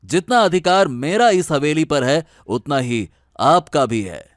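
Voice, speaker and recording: Man acting an earnest, animated younger brother. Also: a little high, unhurried, no echo, clean studio sound. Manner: earnest, respectful. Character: kind, trusting, dutiful younger brother, a landowner. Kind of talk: Hindi cartoon moral story acting.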